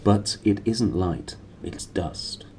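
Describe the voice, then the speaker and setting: A man voicing a composed, authoritative gentleman explorer who lectures calmly and in measured phrases in a storybook reading. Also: deep voice. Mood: calm, assured. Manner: calm, measured. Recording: clearly noisy room recording.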